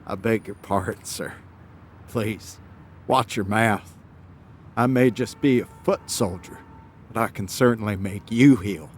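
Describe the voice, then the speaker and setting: A man's voice: on the low side, slight background noise, close to an everyday voice. A man playing a proud, cheeky foot soldier, politely defiant and wordy, in a theatrical audio drama. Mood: cocky, defiant. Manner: measured, wordy, mock-courteous.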